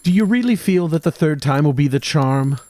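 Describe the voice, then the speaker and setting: An actor playing an earnest, cautious young medical student in a horror audio drama, speaking politely and formally. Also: male voice, fairly high pitch, expressive, brisk, a little mellow, dry recording, close to an everyday voice. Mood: calm, cautious.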